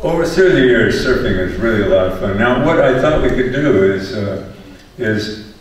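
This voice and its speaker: A man's voice: medium pitch, lively intonation, normal speed. An older man and former teacher moderating a surf panel, telling rambling stories with many restarts. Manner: chatty anecdotes with many restarts. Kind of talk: storytelling on a panel. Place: event hall with audience. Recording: echoey hall, voice from far off.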